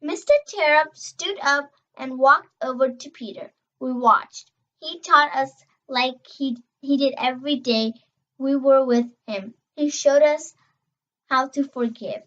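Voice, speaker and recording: A young girl reading a children's novel aloud, expressive and emphatic on dialogue, stumbling over words now and then. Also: high voice, slow pace, lo-fi sound. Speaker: reader.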